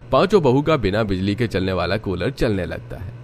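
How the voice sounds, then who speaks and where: Male narrator for a cartoon tale, fairly deep, reading scripted story. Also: lively intonation, normal speed, a little hiss or hum.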